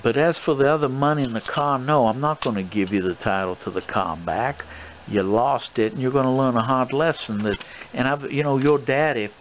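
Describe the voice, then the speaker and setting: A man playing a stern, fatherly old colonel in an improvised role play, scolding a young man in a halting, rambling way. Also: medium pitch, natural voice. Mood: stern, paternal. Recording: dull lo-fi recording with clear background noise.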